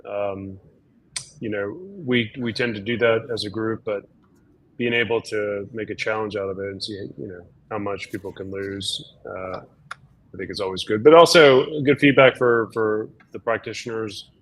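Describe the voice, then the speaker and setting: A slightly hoarse man, a doctor, explaining things in an easygoing way with lots of fillers during a casual webinar chat. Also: on the low side, steady tone, moderate pace, rough recording.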